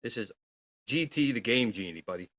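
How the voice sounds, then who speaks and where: A man's voice: medium pitch, animated, moderate pace, fairly bright tone, dry recording, studio quality. A man chatting casually as a panelist on a gaming news show, in a rambling, jokey manner. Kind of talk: casual chat.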